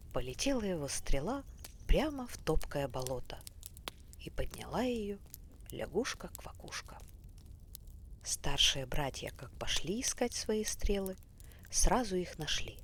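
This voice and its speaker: A woman narrating a bedtime fairy tale in a soft, often whispery, unhurried voice. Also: fairly low pitch, very animated. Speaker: storyteller. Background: faint fireplace crackle.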